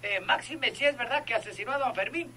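A man's voice: very high-pitched, fast, sharp tone, slight background noise, heavily acted. A man playing an officious, commanding town mayor on a call in an old radio drama. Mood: urgent, commanding. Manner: hesitant openers, officious.

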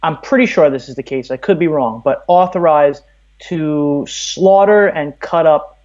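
A man's voice: fairly high pitch, unhurried, darkish tone, muffled recording. A man from Brooklyn, telling stories conversationally as a podcast guest. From Brooklyn, New York, USA. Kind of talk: conversational interview, storytelling.

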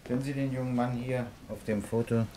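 An actor playing a calm, courteous police inspector who asks probing, matter-of-fact questions in a radio crime drama. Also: male voice, mid-range voice, brisk, slight background noise, natural voice, poor audio.

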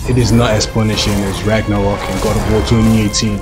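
Hoarse young man chatting over gameplay. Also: on the low side, flat delivery, fast talker, loud music behind the voice. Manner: chatty, joking, repetitive. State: hoarse from a cold. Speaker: gaming channel host. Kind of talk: casual gameplay commentary.

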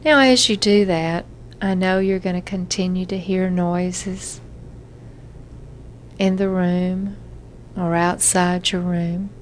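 Slow, low-pitched woman guiding relaxation. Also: slight background noise. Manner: gentle, measured instruction with frequent pauses. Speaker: therapist leading guided relaxation. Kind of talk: guided self-hypnosis relaxation session.

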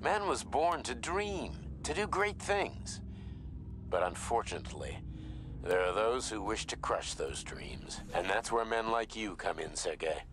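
An actor playing a calm, fatherly visionary scientist and boss who speaks in a measured, grand way in a video game. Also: fairly low pitch, noisy background. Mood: grave.

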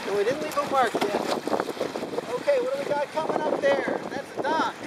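A man's voice: very high voice, sing-song, a little fast. A middle-aged man sailing with his son on a small boat, cheerfully narrating and explaining as he goes. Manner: upbeat, explaining to his child. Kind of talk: casual narration while sailing. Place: outdoors on a small sailboat on a bay. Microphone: action camera on the boat. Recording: loud wind and water noise.

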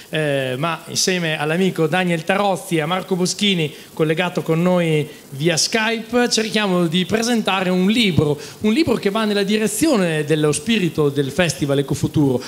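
A man in his forties opens a festival session on stage, speaking into a handheld mic. His delivery is brisk and welcoming, and his voice sits high for a man. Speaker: festival host. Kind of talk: live event moderation.

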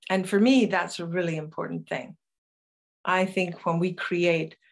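Older scottish woman, northeast accent, low-ish voice, telling stories to her webcam at home, slow and thoughtful. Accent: Northeast Scottish, Doric. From northeast Scotland. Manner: storytelling, reflective, unhurried with pauses. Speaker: conference speaker, storyteller. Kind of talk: personal talk to camera. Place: home room with curtains, indoors.

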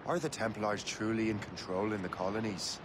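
A man's voice: on the low side, fairly quick, lightly acted. Casting: male actor as brash young Irish assassin sailor, defiant and cheeky, game cutscene, noisy ambience behind. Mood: curious. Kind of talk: video game cutscene acting. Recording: clear sea and ambience noise behind.

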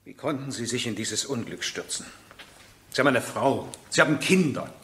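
A man's voice: mid-range voice, animated, moderate pace, a bit bright. A man playing a grave, authoritative presiding judge in a German crime radio drama, with a formal manner. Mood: dismayed. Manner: formal, official.

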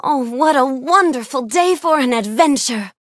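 A woman voicing a kind, bookish young fairy-tale princess in a video game, speaking politely and gently with wide-eyed wonder. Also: high voice, expressive, moderate pace, no room sound, studio quality. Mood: wonder, admiring. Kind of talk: video game character voice clips.

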